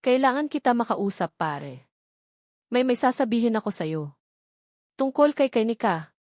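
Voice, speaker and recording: A woman's voice: a little high, big pitch swings, normal speed, a little mellow, dry recording. Scruffy, guilt-ridden drunkard played by a female narrator, pleading and repetitive, for an audio story, dry recording. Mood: urgent.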